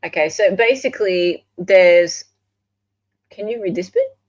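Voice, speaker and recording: A woman's voice: mid-range voice, huge pitch swings, unhurried, vocal fry, no room sound, muffled recording. An Australian woman hosting a casual livestream in a chatty, affectionate way. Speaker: livestream host.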